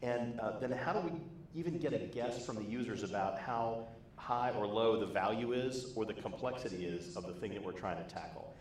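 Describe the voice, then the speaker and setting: A man in his forties works through a problem aloud in measured steps, voice a little low. His handheld mic sits in a large conference room with heavy echo and faint noise. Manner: measured, thinking aloud in questions. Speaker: conference session presenter. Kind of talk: short summary talk. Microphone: handheld microphone. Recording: very echoey room.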